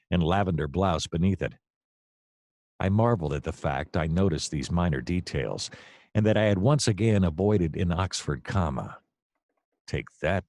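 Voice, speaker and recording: An actor playing a wry, easily distracted private detective, narrating in a long-winded deadpan comic noir style. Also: male voice, low voice, dry recording.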